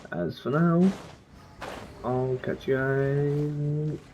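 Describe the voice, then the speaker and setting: A man giving casual, unscripted game commentary, halting and rambling as he goes. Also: fairly high pitch, dull audio. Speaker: gamer recording gameplay commentary. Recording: game music behind the voice.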